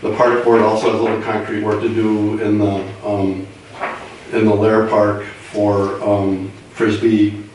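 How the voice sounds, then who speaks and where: An American man with a voice roughened by a cold, informally presenting to a meeting. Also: lowish voice, flat delivery, measured pace, darkish tone. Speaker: grant presenter at council meeting. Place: indoor meeting room, far mic. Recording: echoey room, distant mic, faint noise.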